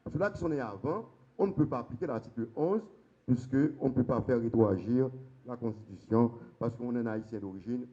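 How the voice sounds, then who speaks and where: A man's voice: medium pitch, brisk, dull audio. A Haitian man on a law panel, explaining the constitution emphatically. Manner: emphatic, stresses points with sharp rises. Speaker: lawyer on a conference panel.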